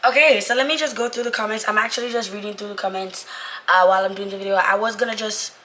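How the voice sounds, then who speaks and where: A young woman with a raspy voice, worn from being sick, chatting casually and informally to her viewers and repeating phrases for emphasis. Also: medium pitch, fairly even intonation, quick pace, sharp tone, slight background noise. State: sick, voice going away. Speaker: vlogger, Navy corpsman trainee.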